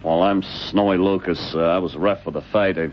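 An actor playing a shady, defensive American boxing referee with halting, rough street speech in an old radio drama. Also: male voice, low voice, fairly even intonation, close to an everyday voice. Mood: guarded. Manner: halting, rough colloquial grammar. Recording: muffled, noisy old radio drama recording.

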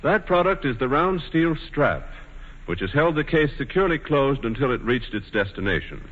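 Lively 1940s radio spokesman, long reverb. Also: mid-range voice, narrow, tinny audio. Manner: formal, measured announcer delivery. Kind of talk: scripted 1940s radio advertisement narration.

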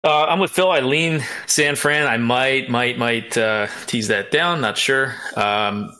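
A man talking casually as a sports betting panelist, in short, halting phrases. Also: medium pitch, bright, forceful tone. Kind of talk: casual analytical chat.

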